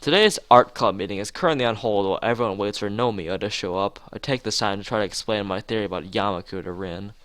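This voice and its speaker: A man's voice: lowish voice, fast talker, hardly any pauses, fairly bright tone, dry recording. A man reading a visual novel aloud as narration, now and then stumbling and rereading phrases, with music behind him. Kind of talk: dramatized read-aloud narration of a game.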